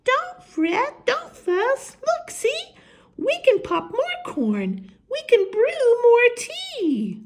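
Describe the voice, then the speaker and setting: A woman voicing a tiny, squeaky, anxious but friendly male mouse in a storybook read-aloud, in short chirpy exclamations. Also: wildly swooping pitch, extremely slow, exaggerated voice. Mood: soothing, eager.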